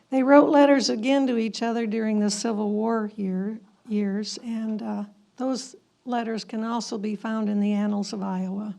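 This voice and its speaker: A woman's voice: on the high side, fairly even intonation, a little slow, gravelly, dark tone. A woman reading scripted historical narration aloud as a narrator.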